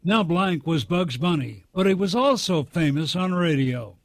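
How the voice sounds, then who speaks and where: A man's voice: on the high side, lively intonation, unhurried, dry recording. A man hosting a radio program, introducing segments in scripted narration. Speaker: radio show host.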